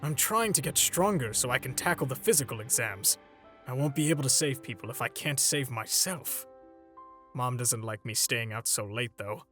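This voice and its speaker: A man playing an older superhero mentor in a comic dub, paternal and gently stern, with a theatrical, measured delivery. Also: mid-range voice, expressive, soft background music, lightly acted. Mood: pointed, reading aloud. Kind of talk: dramatic comic dub voice acting.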